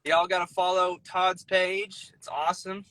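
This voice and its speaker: A man's voice: high voice, level tone, unhurried, pushed and bright, rough recording. A young man from the American Midwest chatting enthusiastically as an interview guest on a live video call. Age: young adult. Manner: upbeat, enthusiastic. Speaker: singer-songwriter guest. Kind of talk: casual interview chat.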